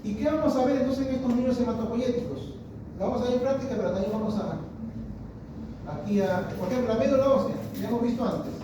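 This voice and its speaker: High-voiced guy professor lecturing, restarts his sentences a lot, really echoey classroom, mic far away. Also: quick pace, background noise. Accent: Peruvian Spanish. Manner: repeats and restarts phrases, asks the class questions. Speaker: histology professor. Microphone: distant room mic. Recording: echoey classroom recorded from afar.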